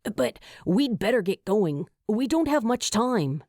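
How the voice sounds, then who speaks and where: A woman voicing a brisk, cheerful young man in audiobook dialogue. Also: mid-range voice, sing-song, darkish tone, no room sound, studio quality, pitched above the performer's own voice. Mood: brisk, urgent. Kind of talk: acted fan fiction audiobook dialogue.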